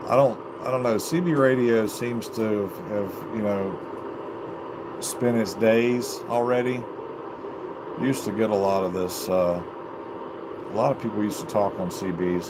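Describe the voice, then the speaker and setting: A man's voice: on the low side, steady tone, measured pace. A man chatting casually in a rambling, folksy way as he hosts a live video from his basement radio room. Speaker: live stream host, CB radio hobbyist. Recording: very noisy home live stream.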